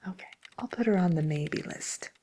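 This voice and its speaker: A woman playing a genteel, businesslike matchmaker for villains in a whispered ASMR role play, murmuring politely and hesitantly in a breathy voice. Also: on the low side, moderate pace, mellow, slight background noise, natural voice. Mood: politely helpful.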